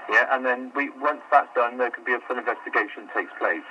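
A man's voice: medium pitch, brisk, dull audio, slight background noise. A man working store customer service, answering a call patiently but growing exasperated, stumbling and repeating himself. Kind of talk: phone call.

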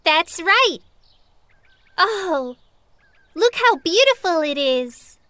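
A woman's voice: very high voice, sing-song, drawn-out words, background noise, exaggerated voice. A woman voicing a sensible, kindly young girl explaining things in a children's cartoon. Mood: gentle, explaining.

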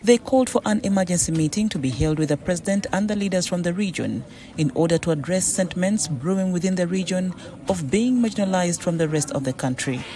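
A news reporter delivering a formal, even voice-over for a TV news report. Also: male voice, high voice, fast.

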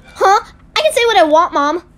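A woman's voice: extremely high pitch, expressive, moderate pace, a bit bright. A woman voicing a mean, spoiled, sneering older sister in an amateur game roleplay drama. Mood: defiant. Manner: snappy, scornful.

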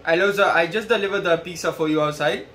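A man in his twenties making a prank phone call with feigned seriousness, halting and addressing the listener as sir. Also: fairly high pitch, a bit bright, a little hiss or hum. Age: 20s. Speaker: prank caller. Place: small plain room indoors.

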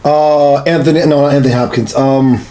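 A man's voice: mid-range voice, steady tone, fairly quick, dark tone, a little hiss or hum. A man in his thirties co-hosting a podcast, chatting casually in short interjections. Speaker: podcast co-host. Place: small home studio room, indoors.

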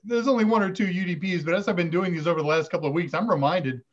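Older male host, high voice, dull video-call sound, casual Q&A chat. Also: very fast. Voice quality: fairly high voice. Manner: relaxed, chatty, reads viewer questions aloud. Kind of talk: live stream Q&A chat. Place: home room with curtains. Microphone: video call webcam.

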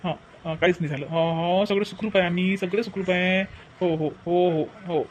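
A man's voice: high-pitched, fairly even intonation, brisk, slight background noise, close to an everyday voice. A man playing a bossy village husband and brother in a comedy cartoon, brusque and repetitive, with a Vidarbha Marathi accent. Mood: reassuring. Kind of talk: exaggerated cartoon family comedy.

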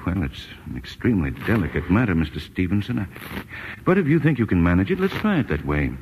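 An actor playing a calm, authoritative physician, measured and professional in 1940s radio style. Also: male voice, low voice. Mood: accommodating. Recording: faintly noisy old radio recording.

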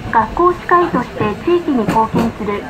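A woman's voice: fairly high pitch, animated, moderate pace. A woman reading scripted tram announcements in a polite, carefully enunciated manner. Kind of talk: scripted recorded onboard announcement. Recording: noisy field recording of tram speaker. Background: tram running noise.

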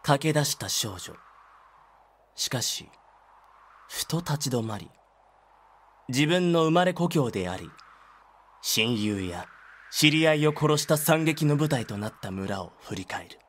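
A man narrating an audio drama from a script in a measured, solemn manner. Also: medium pitch, expressive, fairly bright tone, slight background noise.

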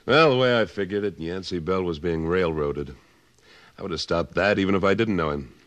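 Low voiced cowboy hero in old radio western, terse, steady, tough. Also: male voice, fairly quick. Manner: firm, terse, unruffled. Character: tough, steady cattleman, Civil War veteran, fair-minded.

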